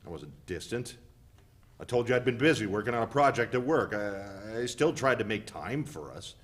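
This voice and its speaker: A man in a dramatic play reading, playing a hurt, defensive partner who speaks in a guarded, deliberate way. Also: fairly low pitch, animated, fairly bright tone. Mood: accusing, defensive.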